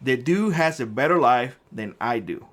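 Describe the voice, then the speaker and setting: A man's voice: medium pitch, lively intonation, normal speed. A middle-aged man from Cuba with a Cuban Spanish accent, hosting a prepper vlog and ranting combatively at a commenter, with frequent swearing. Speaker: prepper channel host.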